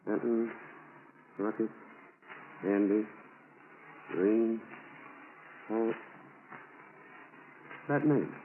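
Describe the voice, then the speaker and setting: An actor playing an observant, persistent federal investigator in a 1940s radio drama, clipped and casually probing. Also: male voice, fairly low pitch, drawn-out words, long pauses. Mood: puzzled, thinking. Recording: noisy tinny 1940s radio recording.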